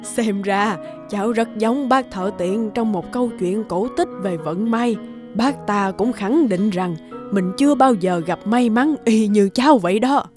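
A woman's voice: medium pitch, a little slow, over music, lightly acted. A woman playing a caring old grandmother in a cartoon, who chides, teases and reassures. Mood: teasing, storytelling. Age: older. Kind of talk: cartoon dubbing.

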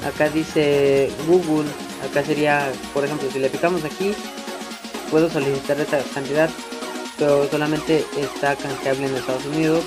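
A man's voice: a little high, flat delivery, normal speed. A young man giving a casual, hesitant, improvised phone app tutorial in an informal way. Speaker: tutorial video maker. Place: voice-over to a phone screen recording. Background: prominent background music.

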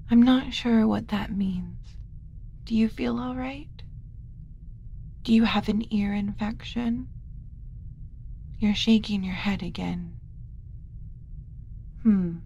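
A woman voicing a gentle, doting alien who coaxes a human like a small child, in a soft ASMR roleplay with frequent whispering. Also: mid-range voice, a little hiss or hum. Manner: coaxing, slow, motherly, talking as if to a small child.

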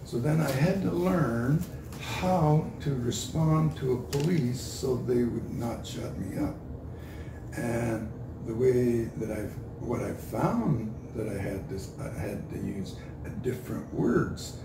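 An older man with a Canadian accent answering interview questions in a halting, deliberate way, often repeating himself. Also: mid-range voice, creaky. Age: older, 60s or above. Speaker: street preacher being interviewed. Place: indoor room with a couch. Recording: roomy, distant mic, noisy.

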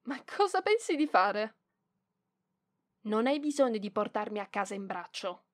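Una donna che interpreta una giovane bibliotecaria orgogliosa e impacciata, scontrosa ma tenera, esitante e indignata, in un roleplay ASMR. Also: female voice, mid-range voice, big pitch swings. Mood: indignant, alarmed. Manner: hesitant, indignant, halting with sighs. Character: proud, flustered young female librarian, prickly but softening. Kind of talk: ASMR roleplay voice acting, one side of dialogue.

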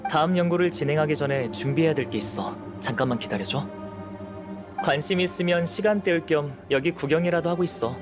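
Man as young male alchemist, slightly high voice, calm, measured, gentle, analytical, anime game, background music. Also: lightly acted. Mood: calm, curious.

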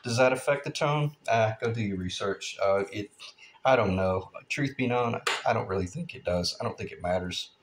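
Relaxed man in his fifties, low voice. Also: normal speed, background noise. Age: 50s. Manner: relaxed, rambling, many "uh" fillers. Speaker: guitar builder. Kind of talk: casual workshop tutorial. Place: home workshop bench.

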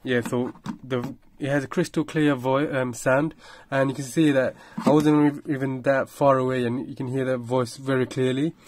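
A man's voice: mid-range voice, fairly even intonation, normal speed, hardly any pauses, darkish tone, a little hiss or hum. A man giving a casual product review in a plain-spoken, slightly hesitant explaining manner.

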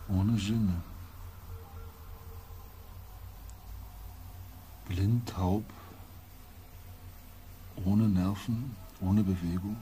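An actor playing a weary, haunted empathic explorer in a science-fiction radio play, speaking haltingly and deliberately. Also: male voice, on the low side, dark tone, a little hiss or hum. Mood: entranced.

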